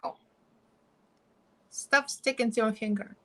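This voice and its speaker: A woman's voice: on the high side, takes it slowly, sharp tone. A woman with a Russian accent chatting casually and giggling as she does her makeup live for viewers.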